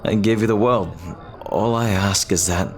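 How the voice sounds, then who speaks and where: A man playing an earnest, lovestruck pirate captain in an ASMR roleplay, speaking haltingly and tenderly in formal, old-fashioned phrases. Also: on the low side, natural voice. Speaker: audio roleplay performer.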